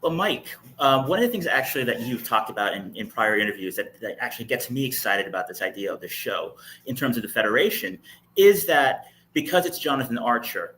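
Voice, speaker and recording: Man, medium pitch, bright forceful tone, conversational panel talk, earnest, slightly halting. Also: lively intonation, a little hiss or hum, poor audio. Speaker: panelist on a fan live show.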